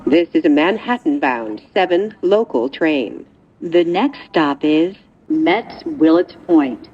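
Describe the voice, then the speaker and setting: Slow, swooping female train announcer. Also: sits low, lively intonation, lo-fi sound, a little hiss or hum. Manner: measured, clearly segmented station announcing. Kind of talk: scripted transit announcement.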